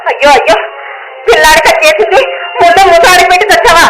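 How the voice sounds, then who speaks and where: A woman playing a sharp-tongued middle-aged mother and daughter-in-law in a radio play, with an exclaiming manner. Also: very high voice, fast talker, loud music behind the voice, poor audio. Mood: scornful scolding. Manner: scolding, exclaiming, contemptuous.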